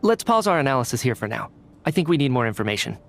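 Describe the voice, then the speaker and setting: An actor playing an earnest, careful young male researcher in a video game, speaking in a deliberate, articulate way as he reasons aloud. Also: medium pitch, very animated, vocal fry, background noise. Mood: deliberate reasoning. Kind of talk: anime-style video game cutscene acting.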